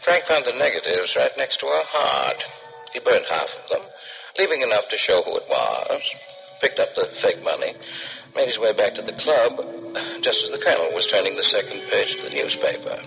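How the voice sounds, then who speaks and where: An actor playing a cunning, calculating killer in a dramatic old radio thriller. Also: male voice, on the low side, very animated, moderate pace, creaky, over music. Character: cunning, cold-blooded murderer narrating his own scheme. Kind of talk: dramatic first-person radio thriller narration. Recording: dull old radio recording.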